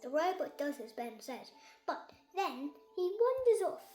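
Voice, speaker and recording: A woman's voice: sits high, sing-song, measured pace, darkish tone. A young girl, about six, reading a children's story aloud with careful, sometimes stumbling delivery. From Kerala, India. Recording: echoey room.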